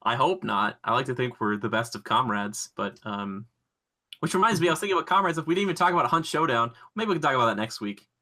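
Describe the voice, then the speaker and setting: A man's voice: medium pitch, animated, quick pace, bright, forceful tone. A man hosting a podcast in a casual, chatty, joking style. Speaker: podcast host.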